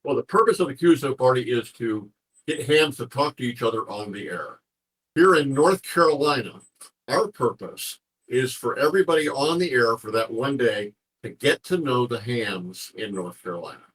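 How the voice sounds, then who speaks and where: A man with a husky voice talking informally as an interview guest, explaining things in a rambling way and repeating phrases as he goes. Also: medium pitch, expressive, moderate pace, a bit bright, poor audio. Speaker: interview guest, ham radio contest organizer.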